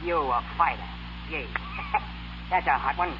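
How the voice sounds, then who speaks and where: An actor playing an exasperated, streetwise Brooklyn boxing manager with a slangy, badgering New York delivery in a 1930s radio comedy. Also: male voice, a little high, lively intonation, slow pace. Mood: bitter, scornful. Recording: muffled, noisy 1930s radio recording.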